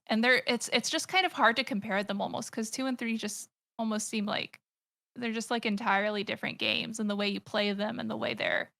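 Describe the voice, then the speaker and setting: A woman's voice: mid-range voice, creaky, sharp tone. An American woman in her thirties, a guest on a podcast, chatting enthusiastically and rambling with lots of fillers and restarts. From United States. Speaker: podcast guest, comic artist and streamer. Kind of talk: casual podcast chat.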